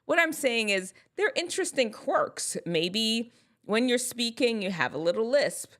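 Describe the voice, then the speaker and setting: A woman with a mixed Jamaican and American accent, talking casually to camera with strong enunciation and an animated, chatty way of giving advice. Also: on the high side, unhurried.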